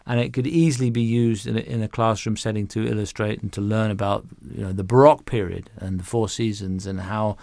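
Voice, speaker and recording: A man answering interview questions as a music director, conversational, with halting phrasing and repeated words. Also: fairly low pitch, fairly even intonation, gravelly, darkish tone, no echo.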